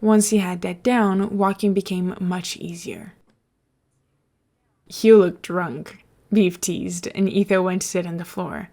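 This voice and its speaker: A woman's voice: lowish voice, fairly even intonation, normal speed, few pauses, a little mellow. A woman reading and talking as a podfic narrator, in an informal storytelling style. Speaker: podfic reader. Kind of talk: story narration and casual commentary.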